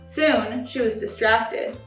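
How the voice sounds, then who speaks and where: A woman reads children's stories aloud in a slightly high voice. She is recorded in an echoey space, a little way from the microphone. She presents as a volunteer story time reader. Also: slow pace, fairly bright tone. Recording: roomy echo, distant mic, music behind.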